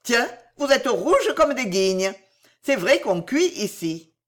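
Woman playing an eager, excitable young boy. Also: fairly high pitch, slow pace, voice pushed up, full character voice. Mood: blurting. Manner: excitable, blurting. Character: eager, artless boy, chattering and devoted.

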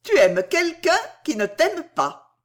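Woman playing a coquettish young woman, high and lilting. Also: very animated, slow, exaggerated voice. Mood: teasing, probing. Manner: teasing, insistent, familiar. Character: playful, coquettish young woman, teasing and ingenuous.

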